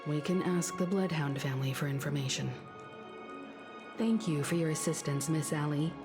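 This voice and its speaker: A woman playing a composed, analytical investigator in a video game, measured, thoughtful and courteous. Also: low voice, over music, close to an everyday voice. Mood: courteous, probing. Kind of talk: scripted video game dialogue.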